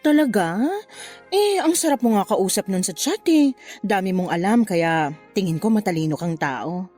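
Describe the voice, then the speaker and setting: Casting: actress plays seductive married woman in her forties, coy and teasing, mellow voice, very animated pitch, radio drama. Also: moderate pace, soft background music, lightly acted. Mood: flirty, teasing. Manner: coy, teasing, breaks into giggles. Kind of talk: Tagalog radio drama acting.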